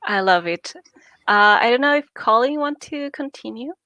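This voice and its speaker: A woman in her twenties from Chile moderating an online panel, friendly with slightly halting phrasing. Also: fairly high pitch, lively intonation, pushed and bright, no echo. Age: 20s. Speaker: moderator, student.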